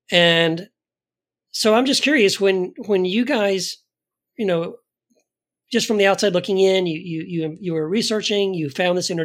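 A middle-aged man hosting a casual interview, talking in a rambling way and circling around his points. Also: sits high. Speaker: interviewer, host.

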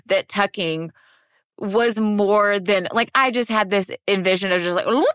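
A woman's voice: medium pitch, a little slow, a bit bright, smooth, no room sound, studio quality. A woman in her thirties hosting a podcast, chatting casually in an informal, slangy, effusive way. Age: 30s. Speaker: podcast host.

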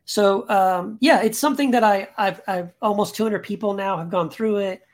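A man, a podcast guest and author, talking casually and earnestly in an interview, often restarting his sentences, into a podcast microphone. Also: high voice. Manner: earnest, reflective, speaks in halting restarts.

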